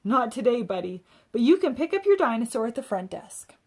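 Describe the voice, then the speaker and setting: A woman's voice: fairly high pitch, big pitch swings, brisk, darkish tone. A woman voicing a kindly, matter-of-fact nurse who explains things breezily in a children's storybook. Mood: friendly.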